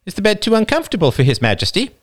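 A man voicing an older wife in a storybook reading, speaking in a brisk, dryly teasing way. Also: high voice, wildly swooping pitch, voice pushed up. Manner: dry teasing delivery.

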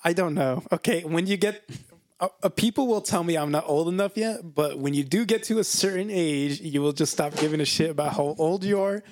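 A man's voice: a little high, fairly quick, no echo. A man in his twenties co-hosting a podcast with casual, crude banter, rambling and hedging, swearing freely and close on the mic. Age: 20s. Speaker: podcast co-host.

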